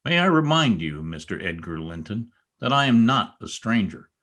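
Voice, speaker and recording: Brooding self-made gentleman for radio drama, played by a man: lowish voice, very varied intonation, sardonic and commanding. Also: sing-song, normal speed, exaggerated voice. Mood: sardonic, bitter. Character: brooding, bitter, newly rich former stable boy, adult man. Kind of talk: old-time radio drama acting.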